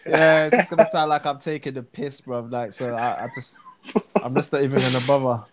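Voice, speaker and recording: A man's voice: mid-range voice, steady tone, fairly quick, a crowd in the background. A man co-hosting a live stream, chatty and laid-back, using street slang and laughing often. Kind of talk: casual live chat.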